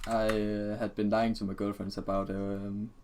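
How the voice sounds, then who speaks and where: A man's voice: lowish voice, level tone, moderate pace. A man chatting casually over a video game as co-host, with joking, teasing banter. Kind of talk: casual chat over gameplay. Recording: noisy home mic with keyboard clicks.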